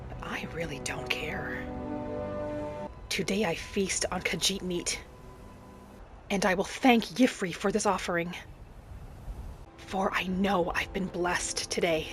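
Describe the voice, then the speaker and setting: Serene, devout female wood elf played by a woman, measured fantasy game narration, formal wording, music underneath. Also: on the low side. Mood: hungry, reverent. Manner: measured, formal storybook phrasing. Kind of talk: in-character roleplay narration of a fantasy video game.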